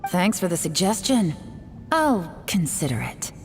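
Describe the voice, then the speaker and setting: A woman's voice: on the low side, sing-song, unhurried, long reverb tail, soft background music. A woman playing a cool, sly, mysterious official in a video game, composed and dryly authoritative. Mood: coolly authoritative. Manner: composed, teasing, dry.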